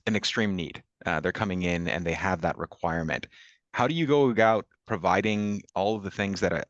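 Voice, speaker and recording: Male interviewer, very low voice, measured questions, dry and slightly dull recording. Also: fairly bright tone, dry recording. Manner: measured, asks long careful questions. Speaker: interviewer, training manager. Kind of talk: interview conversation.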